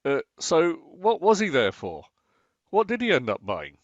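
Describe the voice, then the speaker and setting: A man's voice: a little high, huge pitch swings, a little slow, no echo, muffled recording. A man acting a long-suffering, dryly sarcastic husband in a domestic radio comedy. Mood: exasperated. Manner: dry, exasperated sarcasm.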